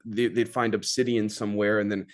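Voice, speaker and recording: A man hosting a podcast interview, speaking politely in wordy, halting phrases as he builds his questions and comments. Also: lowish voice, flat delivery. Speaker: podcast host.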